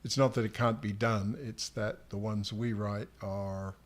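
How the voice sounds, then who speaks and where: A man co-hosting as an author, explaining things to readers in a casual, halting way. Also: on the low side, fairly even intonation. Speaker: author and livestream co-host.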